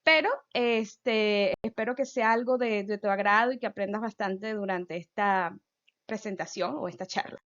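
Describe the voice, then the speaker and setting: A woman's voice: mid-range voice, moderate pace, fairly bright tone, lo-fi sound. A woman in her thirties with a Venezuelan accent, a physicist giving an informal outreach talk and speaking straight to the listener. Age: 30s. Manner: chatty, addresses listener directly. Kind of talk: informal science explainer.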